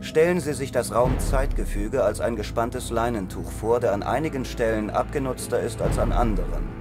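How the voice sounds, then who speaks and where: A man playing a condescending commanding officer who gives clipped orders in a radio drama, with loud music under his voice. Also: medium pitch, normal speed, natural voice. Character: cool commanding male officer leading a secret operation. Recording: studio audio drama with loud music score.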